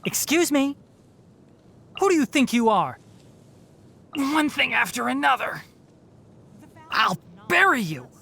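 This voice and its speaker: A woman's voice: high-pitched, very animated, slow, vocal fry, long pauses, a bit bright, husky, full character voice. A woman voicing a fox-eared young male forest ranger in a video game, articulate and matter-of-fact, dryly fussy yet caring. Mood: fierce, battle-ready. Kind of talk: video game character voicelines.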